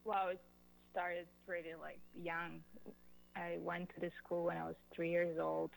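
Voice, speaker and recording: A woman's voice: on the low side, little pitch movement, a little slow, gravelly, low-quality recording. A woman from Russia, a musician guest answering interview questions in an easygoing way full of "like" and "you know". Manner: easygoing, lots of fillers like "like" and "you know", laughs easily.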